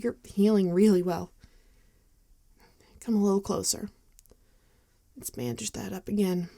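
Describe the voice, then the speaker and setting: A woman playing a gentle, rueful vampire bartender in an intimate ASMR roleplay, soft-spoken and hesitant, often dropping into a whisper. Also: medium pitch, creaky. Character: gentle, rueful female vampire bartender tending a wounded companion.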